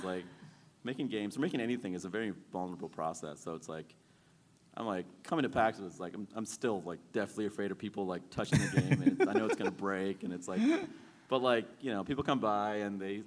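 A man in his forties, a game studio founder, talking casually on a panel with lots of fillers and self-deprecating humor. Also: sits low. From born in Sri Lanka, raised in Maryland. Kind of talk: panel discussion.

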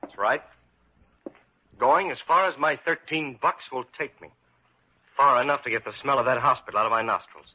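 A man's voice: medium pitch, normal speed, long pauses, slightly rough, lightly acted. A man playing a bitter, proud, hot-tempered young intern in a classic American radio drama, curt and defiant. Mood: bitter, sullen. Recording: dull vintage radio recording.

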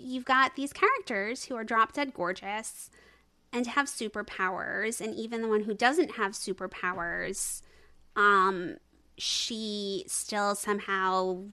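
A woman's voice: mid-range voice, bright, forceful tone. A woman in her thirties or older speaking as a podcast guest in a conversational, opinionated way, stressing points by repeating words. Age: 30s or older. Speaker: researcher and analyst. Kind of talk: conversational discussion.

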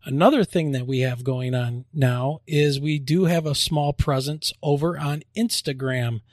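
Chatty, upbeat male co-host, slightly high voice, dry sound. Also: a little slow, darkish tone, no room sound. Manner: upbeat and chatty, laughs easily. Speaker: podcast co-host. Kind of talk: casual podcast chat.

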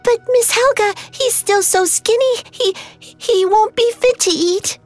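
A woman's voice: sits high, very animated, moderate pace, soft background music, voice pushed up. A woman voicing a timid, starving child in a storybook tale, pleading meekly and stammering. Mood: frightened, pleading.

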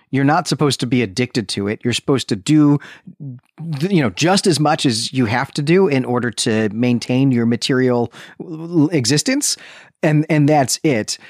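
A man's voice: fairly high pitch, dry recording, studio quality. A man co-hosting a podcast, discussing and recapping literature in an informal, discursive, conversational way. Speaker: podcast co-host.

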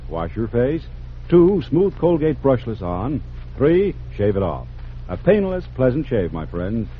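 An American man announcing a 1940s radio commercial in punchy, emphatic sales phrases. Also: mid-range voice. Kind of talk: 1940s radio advertisement read. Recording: thin, phone-like, noisy vintage radio recording.

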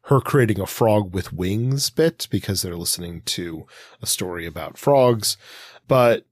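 A man's voice: on the low side, dry recording, clean studio sound. A man co-hosting a podcast, casually analysing a story and thinking aloud in hedged phrases. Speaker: podcast co-host.

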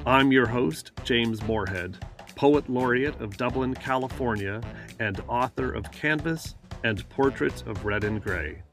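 Poetry podcast host over soft music. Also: male voice, mid-range voice. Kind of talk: scripted podcast intro.

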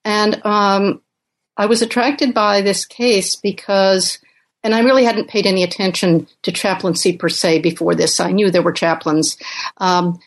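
An older woman from Uganda, a professor and author, answering interview questions thoughtfully with many pauses and fillers. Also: medium pitch, fairly even intonation. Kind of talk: podcast interview.

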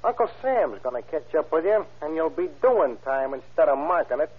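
High-pitched, skeptical sidekick. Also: male voice, sing-song, normal speed, slightly rough. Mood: skeptical, warning. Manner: slangy, skeptical. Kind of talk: 1930s radio drama acting. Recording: tinny, narrow-band vintage radio recording.

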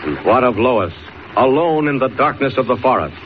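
A man's voice: mid-range voice, sing-song, normal speed, close to an everyday voice. A man narrating a 1940s radio serial in a dramatic, suspenseful scripted style. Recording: dull, faintly noisy old radio recording.

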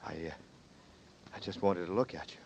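An actor playing a weary, guarded fugitive in a 1940s noir radio drama, speaking in a halting, understated way. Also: male voice, sits low, a little mellow, slight background noise, close to an everyday voice. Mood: cautious. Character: weary, guarded man on the run, feeling his way. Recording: muffled 1940s radio recording.

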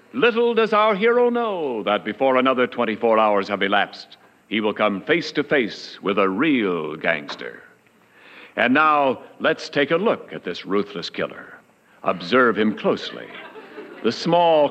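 An actor playing an arch, mock-grave narrator in a 1940s radio comedy, formal and deliberate. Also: male voice, mid-range voice, a bit bright. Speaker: announcer, narrator. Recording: dull, reverberant old radio recording.

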